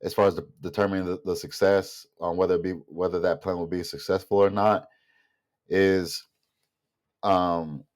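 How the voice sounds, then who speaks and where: A man's voice: deep voice, little pitch movement, moderate pace, a little mellow. A man giving a casual, rambling opinion monologue as a vlogger, sounding nasal and congested from a mild illness. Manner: rambling, thinking aloud.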